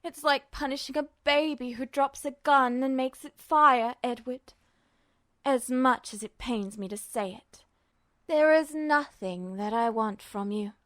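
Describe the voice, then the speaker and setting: A woman performs an inhuman, all-powerful entity from a fantasy audio story. It drawls with icy condescension and calm menace, taking its time over each taunt. The sound is dry and close. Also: high-pitched, a little slow, dry recording. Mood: scornful. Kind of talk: audio fiction reading.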